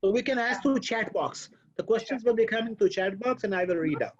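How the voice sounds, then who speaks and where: A man hosting an online lecture session, talking in a halting way with many fillers and restarts over a video call. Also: high-pitched, animated, lo-fi sound. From Pakistan. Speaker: host and professor.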